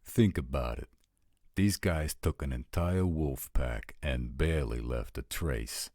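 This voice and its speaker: A man's voice: extremely low pitch, slow pace, a little mellow, dry recording, studio quality, full character voice. A man playing a blunt, roguish supernatural scout who talks in casual street slang, in an audio roleplay drama. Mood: skeptical, cautionary.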